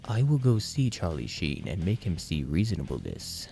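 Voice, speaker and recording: A man's voice: low-pitched, huge pitch swings, gravelly, dark tone, soft background music, exaggerated voice. A man voicing a stern, solemn, patriotic cartoon cat hero in an absurd storybook reading. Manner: slow, solemn, melodramatic delivery. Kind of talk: absurd fan fiction audiobook reading.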